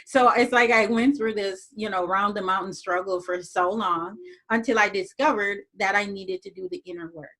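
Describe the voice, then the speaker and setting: A woman's voice: medium pitch, fairly even intonation, normal speed, fairly bright tone, poor audio. Reflective woman from Jamaica, interview guest. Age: adult, moved abroad as young teen. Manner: reflective, frequent fillers and restarts. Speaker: podcast guest. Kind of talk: casual interview talk.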